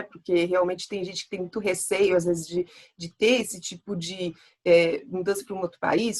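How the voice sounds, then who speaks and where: A woman from Brazil who hosts an interview and asks her questions in an eager, talkative way. Her voice has a slightly husky edge and sits at a medium pitch. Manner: enthusiastic, chatty. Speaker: host. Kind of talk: interview hosting.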